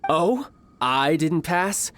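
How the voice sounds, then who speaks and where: A man voicing a cocky young fighter in a video game, with a playful, smooth, self-assured manner. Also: on the high side, very animated, very slow, fairly bright tone, slight background noise, full character voice. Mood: smug, polite. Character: cocky, breezy young male fighter posing as salesman.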